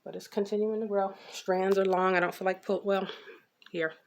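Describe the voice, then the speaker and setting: Casual American woman with a creaky voice. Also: mid-range voice, flat delivery, normal speed. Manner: informal, rambling, repeats herself. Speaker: natural hair care vlogger. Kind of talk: casual chatty hair update.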